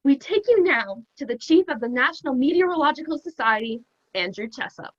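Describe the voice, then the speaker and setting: Young woman acting a wry radio announcer, high, lively swoops, spoof broadcast. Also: brisk, dull audio. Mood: wry, brisk. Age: teens to young adult.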